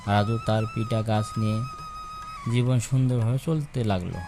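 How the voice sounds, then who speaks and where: A man's voice: fairly low pitch, normal speed, music in the background. A man narrating a scripted children's story as a storyteller.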